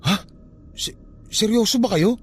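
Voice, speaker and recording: A man's voice: high-pitched, unhurried, breathy edge. An actor playing a defensive, curious young nephew in a radio drama, protesting and questioning his aunt. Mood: curious disbelief.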